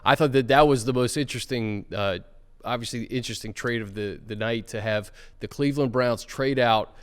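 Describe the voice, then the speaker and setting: A man with an ordinary mid-range voice hosts a relaxed sports chat. He asks short, direct questions to keep his co-host talking. He adds brief remarks of his own between them. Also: medium pitch, fairly quick, clean tone. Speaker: show host. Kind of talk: casual talk show chat.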